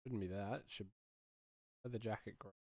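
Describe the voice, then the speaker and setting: A man with a low voice and plenty of vocal fry talks through his sound design work. He mutters in short, broken phrases, casually, half to himself. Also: steady tone, normal speed, noisy background. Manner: muttering, fragmented phrases while concentrating. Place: small home room, indoors.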